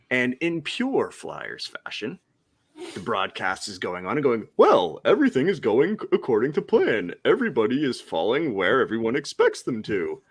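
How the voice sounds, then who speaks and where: A man hosting a casual sports podcast, joking and teasing his co-hosts as he steers the talk. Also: medium pitch. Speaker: podcast host.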